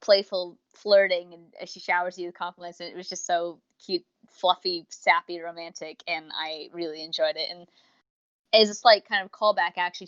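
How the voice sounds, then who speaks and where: A woman's voice: on the low side, a bit bright, no echo, lo-fi sound. A woman co-hosting a casual review podcast, chatting in rambling explanations with quoted asides. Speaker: podcast co-host reviewing audios.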